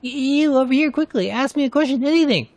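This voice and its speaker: Woman as a flustered, high-voiced attendant. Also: fast talker, a little mellow, dry recording. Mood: flustered, urgent. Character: flustered, urgent, anxious fantasy attendant. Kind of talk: fantasy game dialogue.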